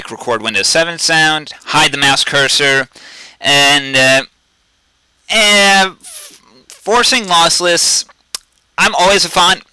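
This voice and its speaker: A man with a loud voice casually talking viewers through a software tutorial over a screen recording, blunt and rambling, often restarting his sentences. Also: mid-range voice, no room sound, rough recording. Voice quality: self-described loud voice. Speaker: video tutorial host.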